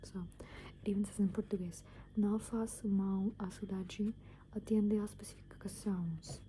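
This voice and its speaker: A young adult woman rambles in a breathy ASMR whisper, hesitating and leaning on filler words as she goes. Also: mid-range voice, fairly even intonation, normal speed, mellow. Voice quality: breathy, mostly unvoiced whisper. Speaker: ASMR video creator. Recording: clear background noise.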